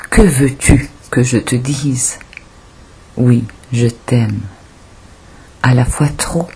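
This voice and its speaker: A woman with a breathy, husky voice reciting poetry as an audiobook reader, in a solemn, deliberate manner with pauses. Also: extremely low pitch, a little mellow.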